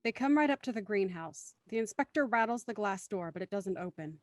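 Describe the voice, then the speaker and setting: A woman narrates an audio drama from a script, setting out actions and scenes. Her voice has an average female pitch and is recorded very dry, with no room around it. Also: lively intonation, fairly quick, no room sound.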